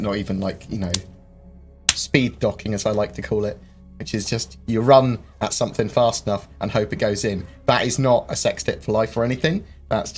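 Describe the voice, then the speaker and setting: A man hosting a live-stream Q&A, rambling through viewer questions with lots of ums and quick jokes. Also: fairly low pitch. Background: faint game music.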